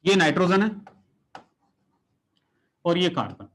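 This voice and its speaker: Animated chemistry teacher, man. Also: high-pitched, a little slow. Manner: animated, rhetorical questions, addresses students directly. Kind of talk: classroom lecture with storytelling.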